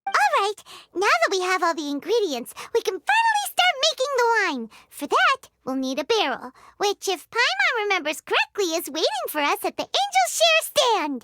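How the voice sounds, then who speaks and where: A woman voicing a tiny, chirpy, childlike fairy companion in a video game, excitable in manner. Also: extremely high pitch, moderate pace, few pauses, a little hiss or hum, exaggerated voice. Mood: chirpy, excited. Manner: excitable, refers to herself in third person. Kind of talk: video game character acting.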